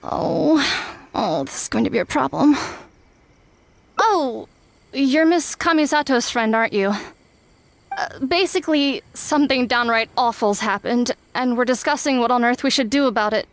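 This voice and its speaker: A woman's voice: on the high side, animated, moderate pace. An actress playing a fretful, earnest female staff member in a video game, explaining things with worry. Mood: worried. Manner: worried, explanatory.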